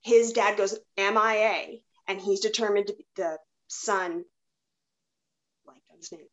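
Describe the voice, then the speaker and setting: Woman, average pitch, podcast host, conversational, somewhat halting delivery. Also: fairly even intonation, fairly bright tone, rough recording. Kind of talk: casual chat.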